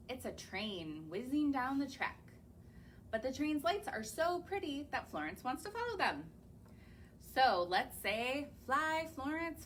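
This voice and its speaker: A woman's voice: high voice, a bit bright, a little hiss or hum. A young woman, a children's librarian, reading picture books aloud to small children and coaxing them with questions. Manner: sing-song, slow, coaxing, asks the children questions. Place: library or home, recorded on camera.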